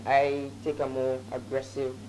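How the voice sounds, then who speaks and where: A man's voice: medium pitch, monotone, measured pace, warm tone, slight background noise, poor audio. A young man, a senior band arranger giving brief, matter-of-fact interview answers. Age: young adult.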